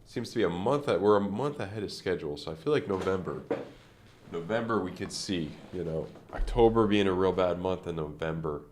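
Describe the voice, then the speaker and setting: A man's voice: low voice, expressive, fairly quick, darkish tone, a little hiss or hum. A man streaming live crypto chart commentary, rambling and thinking aloud with many fillers, sounding nasal and congested from a sinus infection.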